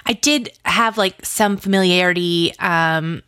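A woman's voice: fairly low pitch, unhurried, sharp tone, smooth, no echo, clean studio sound. A woman speaking casually as an interview guest, in informal, hesitant phrasing peppered with "like". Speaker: guest, audio drama co-creator and writer.

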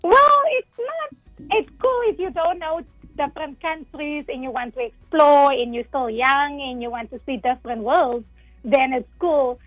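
A woman's voice: sits high, unhurried, a bit bright, no room sound, soft background music, poor audio. A woman in her thirties from Africa, telling her life story openly as an interview guest, laughing often and repeating words. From South Africa. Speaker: guest, nonprofit founder. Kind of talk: casual interview.

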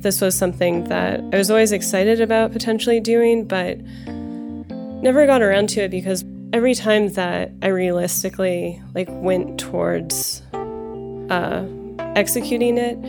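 A woman's voice: mid-range voice, normal speed, creaky, over music. A woman from Los Angeles, a musician, talking thoughtfully in an interview. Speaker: guest, musician. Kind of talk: interview talk.

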